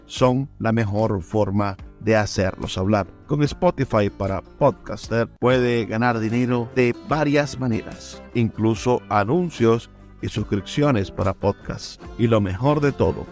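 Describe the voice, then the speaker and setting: A man's voice: on the low side, measured pace, a little mellow, soft background music. A man reading scripted promotional narration as a radio host.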